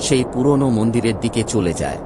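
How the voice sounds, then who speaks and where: A man's voice: medium pitch, animated, quick pace, background noise. A man giving scripted narration for a cartoon story. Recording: loud music behind the voice.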